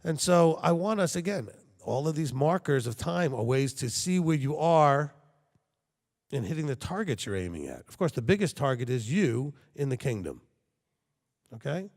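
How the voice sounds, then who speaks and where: A man's voice: a little high, very animated, moderate pace, darkish tone, big-room sound. A man preaching a sermon to his congregation, talking conversationally and checking in with frequent rhetorical questions. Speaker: congregation leader.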